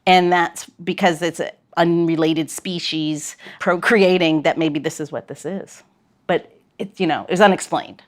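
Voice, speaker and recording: A woman's voice: on the low side, steady tone, normal speed. A woman hosting a podcast, chatting and telling stories in a casual, bantering, playful way. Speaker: podcast host. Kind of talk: casual chat and storytelling.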